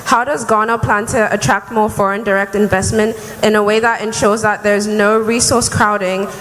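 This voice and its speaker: A woman's voice: mid-range voice, fairly even intonation, moderate pace, fairly bright tone. An eighteen-year-old woman putting a prepared question from the audience at a public meeting. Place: large hall at public meeting. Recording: reverberant hall, faint noise.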